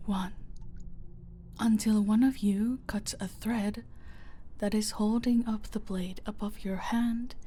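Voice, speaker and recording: A woman voicing a dominant, playfully sadistic mafia boss in an audio roleplay, taunting with mock sweetness and slipping into breathy whispers. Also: medium pitch, mellow, slight background noise, close to an everyday voice. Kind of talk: roleplay audio drama, slow intimate villain monologue.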